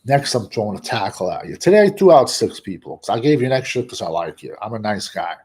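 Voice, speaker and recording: A man hosting casual football draft talk, blunt and rambling, with what he calls a monotone voice. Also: fairly low pitch, fast talker, slightly rough. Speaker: draft analyst host. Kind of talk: casual draft commentary to camera.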